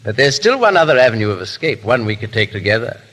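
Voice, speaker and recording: An actor playing a debonair, teasing gentleman adventurer with flippant, playfully hesitant speech in a 1930s radio drama. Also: medium pitch, sing-song, fast. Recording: noisy, echoing 1930s radio broadcast.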